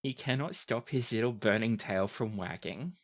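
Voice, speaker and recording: A game master narrating a tabletop game with deliberate, dramatic scene-setting. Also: medium pitch, animated.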